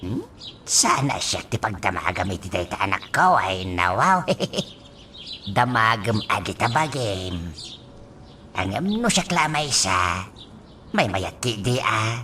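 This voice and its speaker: A man's voice: medium pitch, sing-song, unhurried, bright, forceful tone, a little hiss or hum, full character voice. An actor with a Filipino accent playing a kindly, teasing older father in a radio drama. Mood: fond, teasing.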